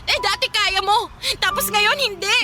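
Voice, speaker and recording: A woman's voice: very high-pitched, moderate pace, very noisy. A Filipino actress playing a jealous, lovesick, hot-tempered young woman in an emotional radio drama. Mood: pleading. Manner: emotional, pleading, melodramatic.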